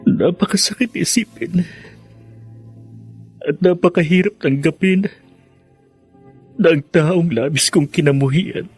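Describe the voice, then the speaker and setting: Casting: torn, grieving son, earnest and pleading, fairly high voice over soft music. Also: male voice, unhurried, long pauses, husky. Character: torn young man grieving his mother. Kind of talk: radio drama acting.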